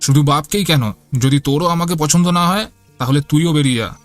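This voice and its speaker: A man's voice: fairly high pitch, fairly quick, no room sound, soft background music, natural voice. A man playing an irritable, hard-hearted grown son in a cartoon, curt and defiant. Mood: angry, defiant. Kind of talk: cartoon voice acting.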